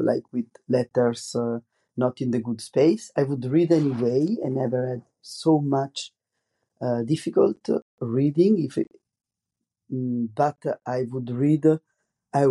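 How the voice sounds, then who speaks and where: Slow, soft-toned Italian-accented man. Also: medium pitch. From Italy. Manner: halting, many fillers and restarts in non-native English. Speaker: podcast host, comics artist. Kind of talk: solo podcast monologue.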